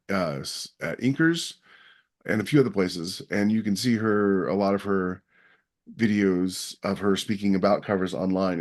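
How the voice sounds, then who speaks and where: Emphatic low-voiced man explaining on a podcast. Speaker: podcast co-host. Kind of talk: casual podcast chat.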